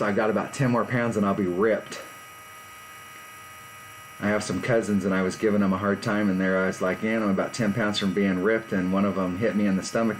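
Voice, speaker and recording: A man's voice: lowish voice, a little hiss or hum, poor audio. A man in his fifties chatting casually and rambling on a live stream from his garage. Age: 50s. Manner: rambling, laid-back, self-deprecating. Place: home garage.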